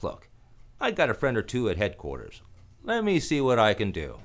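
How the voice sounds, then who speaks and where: A man's voice: medium pitch, huge pitch swings, moderate pace. A man playing a cynical, wisecracking hard-boiled private detective in a noir radio play, wry and slangy. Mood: sardonic negotiating.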